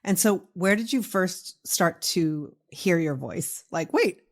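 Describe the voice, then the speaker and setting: A woman in her fifties hosting a casual, chatty interview, telling stories in an animated way and quick to laugh. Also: on the low side. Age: 50s. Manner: animated storytelling, laughs readily, quotes herself and others. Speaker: podcast host interviewing an author.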